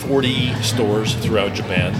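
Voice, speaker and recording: Male executive guest, deeper voice, conversational explaining, heavy music under the speech. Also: measured pace. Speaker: company executive being interviewed. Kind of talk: explanatory business interview.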